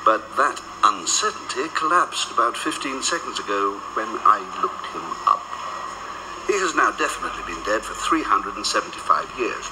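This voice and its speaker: Wry, brisk department boss, played by a man, low and lively, noisy background. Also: lightly acted. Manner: brisk, wry, matter-of-fact. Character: urbane male department chief, unflappable.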